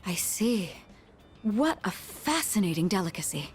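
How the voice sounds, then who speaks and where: A woman's voice: medium pitch, sing-song, unhurried, soft background music. An actress playing a well-bred, earnest young woman in a video game, speaking formally and politely with naive curiosity and the odd French phrase. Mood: delighted, polite.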